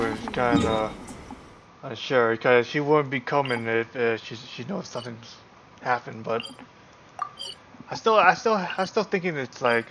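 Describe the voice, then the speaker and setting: A man giving casual, halting, slangy commentary as he livestreams a game. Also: mid-range voice, background noise. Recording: loud game music behind voice. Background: game music and dialogue.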